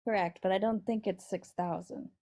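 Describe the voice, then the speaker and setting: A woman in her forties with a high-pitched voice, a caller in a debate who answers hesitantly and doubts herself. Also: fairly even intonation, normal speed, warm tone. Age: 40s. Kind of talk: casual debate conversation.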